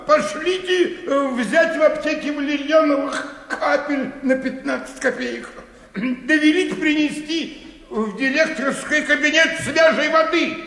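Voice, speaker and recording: An actor playing a worn-out, hot-tempered old bank bookkeeper who grumbles and mutters to himself in a radio play. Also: male voice, extremely high pitch, measured pace, fairly bright tone. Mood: exhausted complaint. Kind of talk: theatrical radio play acting. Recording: echoey, reverberant studio hall.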